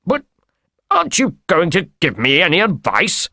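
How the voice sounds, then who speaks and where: A man's voice: mid-range voice, sing-song, measured pace, a bit bright, no room sound, studio quality. Guy reading as a scared fat man in an audiobook, agitated and pleading, voice jumping around. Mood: worried, pleading. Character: very fat, frightened, evasive man. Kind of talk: audiobook character voice.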